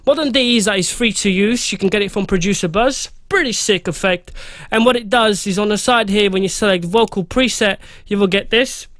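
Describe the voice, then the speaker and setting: High-voiced hoarse producer. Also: male voice, a little fast, a bit bright, no room sound. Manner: chatty and loose, reading out settings with fillers. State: slightly hoarse, losing his voice. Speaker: music producer teaching a mixing tutorial. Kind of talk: casual screen-recorded software tutorial.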